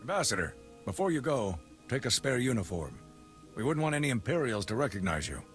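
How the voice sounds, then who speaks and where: Practical game commander, middle pitch, lively intonation, courteous military bearing. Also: male voice, fairly quick, faint music behind. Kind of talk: video game voice acting.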